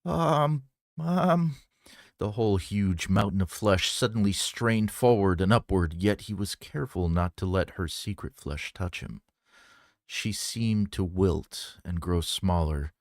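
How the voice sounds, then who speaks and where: Deep-voiced, unhurried man narrating fiction. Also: fairly even intonation, no echo, clean studio sound. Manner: measured, dramatic storytelling. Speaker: audiobook reader.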